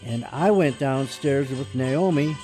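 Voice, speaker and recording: An actor playing a gloomy, soft-hearted young man who is cowed by his father, speaking hesitantly in a radio drama. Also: mid-range voice, measured pace, faint music behind, close to an everyday voice. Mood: wry, subdued.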